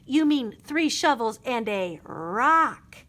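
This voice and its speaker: A woman plays a clever boy beaver from a picture book. He is practical and good-humored, with a high voice. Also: sing-song, slow, a bit bright, heavily acted. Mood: clever, confident.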